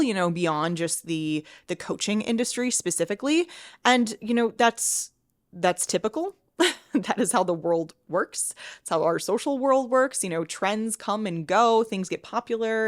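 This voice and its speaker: A woman in her thirties hosting a solo podcast, talking in a chatty way with lots of restarts, sniffly from a cold. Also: mid-range voice. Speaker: podcast host and fitness coach.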